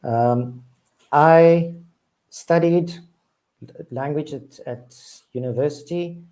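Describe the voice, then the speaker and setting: A man's voice: fairly high pitch, slow pace, mellow, low-quality recording. A middle-aged South African man whose first language is Afrikaans, talking as a guest on a video call from his office, hesitant with many restarts. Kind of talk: casual interview talk.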